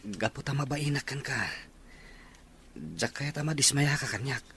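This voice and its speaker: A man's voice: mid-range voice, expressive, measured pace, a bit bright, slightly rough, exaggerated voice. A man playing a humble, apologetic young man in an audio drama. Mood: ashamed. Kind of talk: Ilocano audio drama acting.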